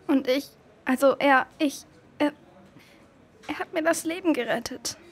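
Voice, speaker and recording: Sad, searching young girl for children's radio play, high-pitched. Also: unhurried. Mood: grieving. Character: sad, questioning young girl recovering in bed.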